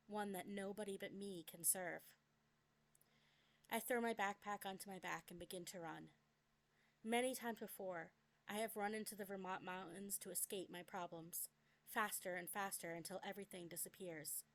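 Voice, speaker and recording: A woman's voice: medium pitch, fairly even intonation, moderate pace. Young woman giving a dramatic memoir reading. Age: young adult. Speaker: memoir author reading own work.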